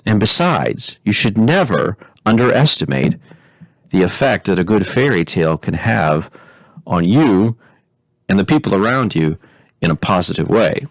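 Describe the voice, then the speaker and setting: A man, a pastor, reading a fairy tale aloud in expressive narration, stretching key words deliberately. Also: lowish voice, no echo, rough recording.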